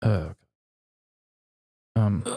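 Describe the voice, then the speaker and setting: A man who hosts a podcast, talking candidly and haltingly in an emotional, reflective way while grieving. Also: mellow, no room sound, studio quality. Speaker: podcast host. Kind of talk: raw, candid personal conversation.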